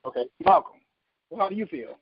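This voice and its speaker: A man co-hosting a podcast in casual discussion, speaking informally and a little haltingly. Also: sits high, lively intonation, breathy edge, dry recording. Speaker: podcast co-host. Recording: dull, muffled lo-fi audio.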